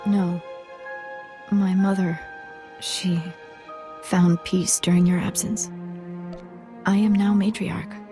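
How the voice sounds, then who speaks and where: A woman playing a grieving, newly made matriarch in a video game, solemn, subdued and halting. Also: mid-range voice, little pitch movement, dark tone, soft background music, natural voice. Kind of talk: dramatic video game acting.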